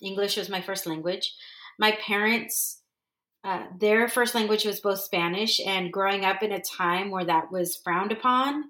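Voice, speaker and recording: A woman's voice: mid-range voice, measured pace, bright, forceful tone, roomy sound. Rambling earnest woman podcast host. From San Diego, California. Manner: rambling, self-correcting, earnest. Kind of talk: casual solo monologue.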